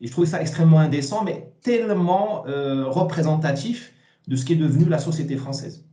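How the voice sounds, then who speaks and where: A man, giving emphatic, opinionated interview answers with repeated words and halting starts. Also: a little high, dark tone, roomy sound. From Morocco. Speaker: interview guest, essayist.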